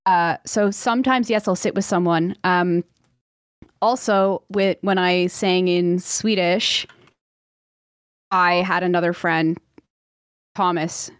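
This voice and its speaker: A woman's voice: lowish voice, steady tone, slow, long pauses, clean tone, no echo, clean studio sound. A woman who sings opera, chatting in an interview and filling her talk with "like" and "um". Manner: chatty, lots of "like" and "um", laughs easily. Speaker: opera singer guest. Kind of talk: casual interview chat.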